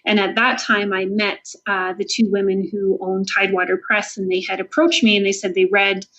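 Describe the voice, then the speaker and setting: A Canadian woman speaks as an author being interviewed, in an earnest, conversational way. She thinks aloud in a casual style, at a middling pitch. The recording is a little dull and lo-fi. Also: little pitch movement, normal speed, a bit bright. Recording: dull lo-fi audio.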